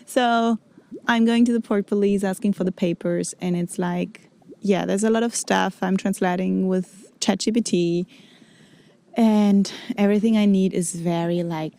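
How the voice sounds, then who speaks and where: A woman's voice: medium pitch, steady tone, measured pace, mellow. A woman in her forties who grew up in Greece and Germany, talking casually as a vlog host, informally searching for words in non-native English. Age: 40s. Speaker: freediving instructor and show host. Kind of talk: casual personal vlog talk.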